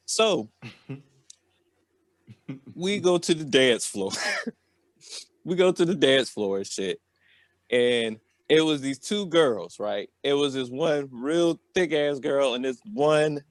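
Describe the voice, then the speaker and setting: A man's voice: fairly high pitch, no room sound, clean studio sound. An American man telling a personal story in a loose, rambling, slangy way, often tacking on filler phrases. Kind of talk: casual, rambling comedic storytelling.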